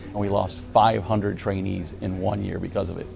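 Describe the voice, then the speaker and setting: A man's voice: low-pitched, flat delivery, moderate pace, creaky, darkish tone, soft background music. A man explaining problems in an interview, speaking on behalf of a workforce.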